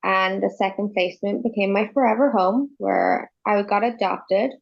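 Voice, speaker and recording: A woman's voice: medium pitch, big pitch swings, moderate pace, gravelly. Young Irish woman over a dull line. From Ireland. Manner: conversational, with many ums and you knows. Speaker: guest. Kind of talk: casual interview talk. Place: home in Canada, remote call.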